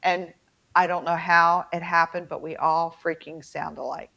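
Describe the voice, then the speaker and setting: A woman's voice: on the low side, steady tone, moderate pace, gravelly, sharp tone. A middle-aged American woman with a twang, chatting casually and jokingly as a folksy co-host of a talk show. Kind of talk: casual chat. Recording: dull lo-fi sound.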